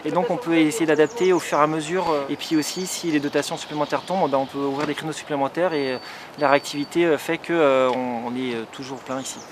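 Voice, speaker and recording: A man's voice: a little high, steady tone, quick pace, background noise. A man explaining how vaccine appointments are organised, hesitant and full of fillers. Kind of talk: interview answer.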